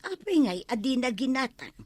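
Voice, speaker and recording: An actress playing a blunt, scornful village gossip in a radio comedy drama, with an Ilocano accent. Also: female voice, high voice, big pitch swings, fairly quick, a little mellow, no room sound. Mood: gossipy.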